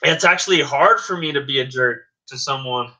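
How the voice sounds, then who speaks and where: A man's voice: mid-range voice, brisk, pushed and bright, rough recording. A man guest-hosting a casual podcast, earnest and insistent, repeating his points. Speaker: guest host.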